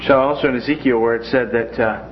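A man's voice: medium pitch, steady tone, fast. Man teaching a folksy Bible class. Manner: folksy, joking asides. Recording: dull lo-fi classroom recording with faint noise.

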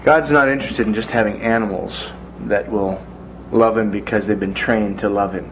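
A man's voice: on the low side, steady tone, moderate pace. Man teaching a folksy Bible class. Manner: folksy, joking asides. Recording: dull lo-fi classroom recording with faint noise.

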